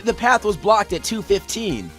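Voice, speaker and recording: Casting: male actor as young defense attorney for a game fandub, high voice, earnest, declamatory, music underneath. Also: sing-song, moderate pace, fairly bright tone. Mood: pointed, confident. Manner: declamatory courtroom argument. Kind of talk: amateur anime-game fandub acting.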